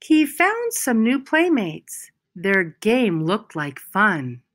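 Animated, slow-paced woman reading a storybook. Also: medium pitch. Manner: deliberate, expressive phrasing with emphasized words. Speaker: school storyteller reading a picture book aloud. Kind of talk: children's storybook read-aloud.

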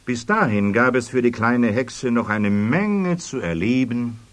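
A man's voice: medium pitch, expressive, unhurried, natural voice. A man narrating a children's story as scripted radio play narration.

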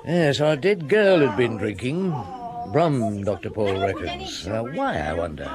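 A British actor playing a courteous, pensive middle-aged French chief inspector in a radio drama. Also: male voice, on the low side, big pitch swings, unhurried, a loud crowd. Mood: pensive, troubled.

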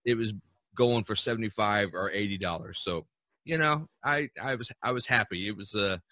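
A man hosting a casual podcast chat, talking in a halting way and repeating words. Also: fairly low pitch, sharp tone, dry recording. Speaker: podcast host.

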